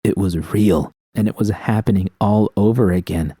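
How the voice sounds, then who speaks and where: A man's voice: fairly low pitch, normal speed, mellow, breathy edge, no echo, studio quality. A man reading first-person fiction as an audiobook narrator, with dramatic storytelling delivery.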